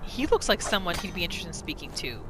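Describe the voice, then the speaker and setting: A woman's voice: fairly low pitch, very animated, fast talker, noisy background. A woman hosting a gaming video, giving casual, chatty commentary as she muses through a roleplay playthrough. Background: game music.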